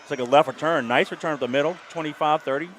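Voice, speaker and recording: A man calling play-by-play for a high school football game, openly rooting for the home team. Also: rapid-fire, a little hiss or hum. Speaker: play-by-play announcer. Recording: music in background.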